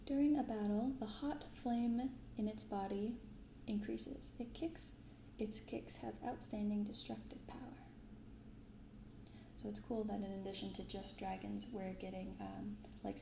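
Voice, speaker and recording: A soft-spoken woman hosting an ASMR unboxing, reading and commenting gently and without hurry. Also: mid-range voice, normal speed, vocal fry, echoey room, background noise. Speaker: card unboxing video host. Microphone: binaural microphone close up.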